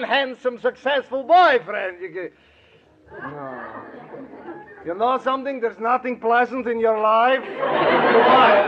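A skinny, fussy professor with a high voice and an Eastern European accent. He talks in blunt, broken English. The recording is dull old radio comedy, with a faint studio audience. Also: a little hiss or hum, heavily acted. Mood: glum. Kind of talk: 1940s radio comedy acting.

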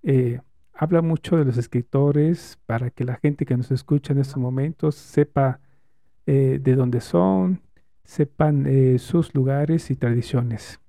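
A man's voice: medium pitch, slow pace, creaky, warm tone, no room sound, studio quality. A man hosting a podcast interview, asking questions in a friendly way with hesitant fillers. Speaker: podcast host. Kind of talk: casual interview.

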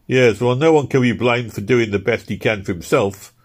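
A man's voice: medium pitch, moderate pace, voice pushed up, natural voice. A man voicing an articulate, earnest house painter arguing a point in a storybook reading. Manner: earnest, argumentative.

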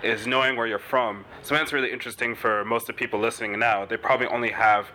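A man's voice: mid-range voice, steady tone, pushed and bright, slight background noise, rough recording. A male interviewer asking eager, agreeable questions in a casual conversation. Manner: eager, agreeable, quick to affirm.

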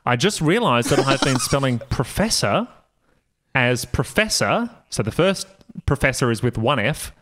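A man joking on a podcast and reading aloud with a dry, teasing, mock-serious delivery, quick to laugh. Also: mid-range voice, big pitch swings, a bit bright. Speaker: guest reading aloud and commenting.

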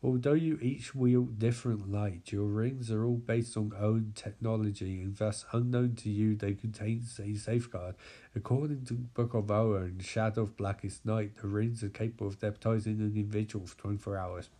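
A man's voice: lowish voice, fairly even intonation, normal speed, dark tone, voice pushed down, natural voice. A man voicing a solemn, resolute alien guardian from a comic book, speaking in a formal, declamatory way.

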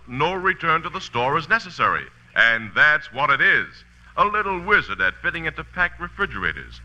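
A man's voice: mid-range voice, pushed and bright. An American man announcing for 1940s radio, with a polished, emphatic sales delivery. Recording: dull vintage radio recording, faint music.

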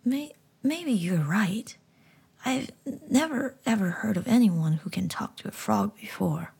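A timid little boy who trips over his words and pushes his voice up high and eager, as a woman storyteller plays him. The voice is husky and gravelly, with animated rises. A storybook child. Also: a little slow, heavily acted. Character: shy young boy, timid and stammering.

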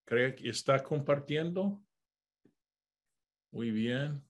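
A man teaching a Bible study over a video call, speaking at a slow, deliberate pace. His delivery is patient and halting, with frequent "ah" pauses and self-corrections. His pitch sits at an ordinary middle level for a man. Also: animated. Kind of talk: online Bible study lesson.

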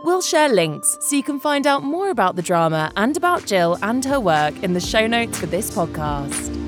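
Animated woman podcast host over loud music. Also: medium pitch, normal speed. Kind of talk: scripted presenting.

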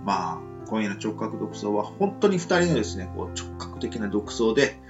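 A man's voice: fairly low pitch, very animated, normal speed, muffled recording, over music. A man hosting a casual talk show, speaking politely in a rambling, hedging way. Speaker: radio show host.

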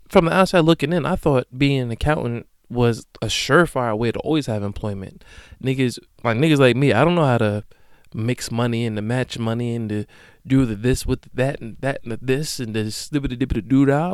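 A man in his late twenties hosting a solo podcast, talking casually and profanely with sudden loud bursts. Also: mid-range voice, smooth, no echo, studio quality. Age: late 20s. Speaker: podcast host.

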